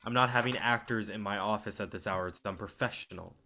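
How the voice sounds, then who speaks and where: A man's voice: lowish voice, brisk, heavy background noise, natural voice. A man in a staged play reading, acting the part of a curt, domineering film company boss with a dismissive, profane manner. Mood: cold, firm.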